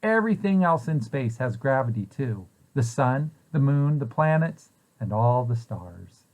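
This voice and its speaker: Man reading aloud, very animated pitch. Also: a little slow, highs cut off. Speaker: preschool site director reading aloud. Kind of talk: children's read-aloud of a picture book.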